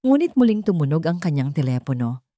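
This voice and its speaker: A woman reads a dramatic audio story in a low, soft-edged voice. The tone is mellow and dark, and the sound is close and dry, as a scripted narration. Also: sing-song, fairly quick, dry recording.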